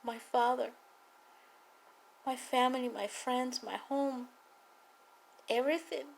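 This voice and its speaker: A woman from Cuba with a Cuban accent, a native Spanish speaker, giving an earnest, emphatic personal testimony and political appeal with deliberate repetition. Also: high voice, fairly even intonation, vocal fry, slight background noise. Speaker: political activist speaking to viewers.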